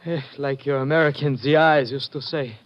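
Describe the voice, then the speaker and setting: An actor playing a rattled, polite Greek cab driver in an old radio drama, speaking stilted, formal English. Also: male voice, mid-range voice, fairly even intonation, normal speed, dull audio, lightly acted. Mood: shaken, firm. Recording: noisy vintage radio recording.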